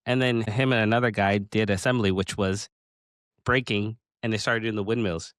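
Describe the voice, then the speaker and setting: Guy in his late 30s hosting a podcast, low clear voice, lots of stops and restarts, dry mic. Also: dry recording. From Pacific Northwest of the US. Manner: halting, restarts often, excited laughs. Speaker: podcast host. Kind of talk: casual interview chat.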